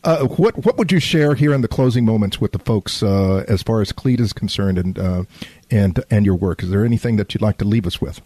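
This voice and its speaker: A man from San Antonio with a Texan accent, hosting a conservative talk radio show, halting and repeating words with many fillers. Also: on the low side, mellow, slightly rough, no room sound. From San Antonio, Texas.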